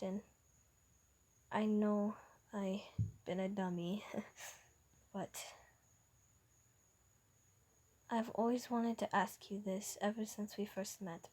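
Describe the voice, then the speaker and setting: A bashful young man proposes in a hushed, stumbling voice with a Norwegian accent, put on by a woman. His words come slowly and unevenly, with many restarts. It is a homemade fan story reading. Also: mid-range voice. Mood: tender, shy. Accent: Norwegian (imitated). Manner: hesitant, quiet, stumbling. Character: nervous young man proposing, soft-spoken.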